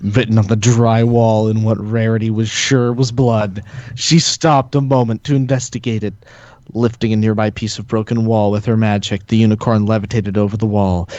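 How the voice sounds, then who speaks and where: A man's voice: fairly low pitch, little pitch movement, normal speed, darkish tone, no room sound. Man reading a story aloud, dry lo-fi mic. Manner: stumbles and laughs while reading. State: sick. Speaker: narrator of a group fan-fiction reading. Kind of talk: comedic read-aloud narration. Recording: dry, dull lo-fi home mic.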